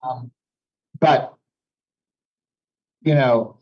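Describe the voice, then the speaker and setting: A man's voice: mid-range voice, fairly even intonation, extremely slow. Thoughtful man, native French speaker. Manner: thoughtful, explanatory, with restarts. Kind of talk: reflective conversation. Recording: dull lo-fi sound.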